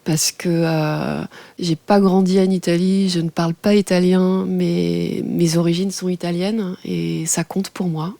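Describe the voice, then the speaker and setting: A woman in her forties, a radio journalist guest, answering thoughtfully with many pauses and fillers. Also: lowish voice, level tone, creaky, no room sound. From France. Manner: thoughtful, hesitant with many fillers, warm laughs. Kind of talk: casual interview answers.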